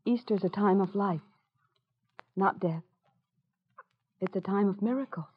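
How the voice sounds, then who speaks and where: A woman's voice: mid-range voice, unhurried, husky. An actress playing an earnest, compassionate woman newspaper reporter in an old-time radio drama. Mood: gently consoling. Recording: muffled, noisy old radio recording.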